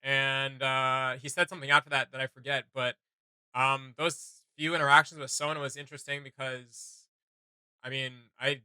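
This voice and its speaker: A man hosting a video game reaction show, chatting casually in a rambling, excitable, slangy way. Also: mid-range voice, normal speed, smooth, no room sound.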